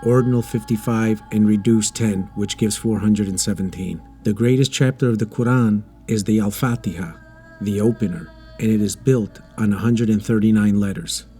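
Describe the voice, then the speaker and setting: A man delivering scripted educational narration in a deliberate, emphatic, explanatory manner. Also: on the low side, warm tone. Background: background music.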